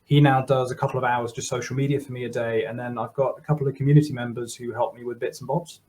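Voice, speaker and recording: A German man in his thirties, a software developer chatting as a guest on a video call, laughing often and restarting his sentences. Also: mid-range voice, level tone, fast. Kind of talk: casual interview talk.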